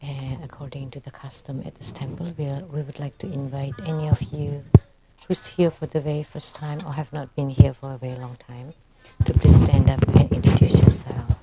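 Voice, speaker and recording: Low-pitched woman, monotone, formal temple emcee, measured liturgical reading. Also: heavy background noise. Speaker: temple emcee leading group practice. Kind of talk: formal ceremonial announcing. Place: large temple hall.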